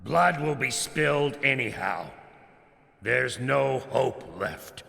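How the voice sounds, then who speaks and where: A stern, war-weary general pronounces grave game monologues slowly and deliberately. His voice is sharp and forceful, declamatory, ringing through a long, reverberant hall. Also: mid-range voice, animated, creaky, exaggerated voice. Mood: fatalistic. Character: stern male army general weary of doomed orders. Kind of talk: theatrical scripted game monologue.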